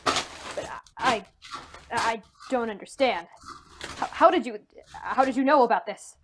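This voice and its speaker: A woman playing an anxious, insistent man in old-time radio drama, halting and pleading as the character. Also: a little high, very noisy, lightly acted. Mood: flustered. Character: anxious, insistent man defending his wife.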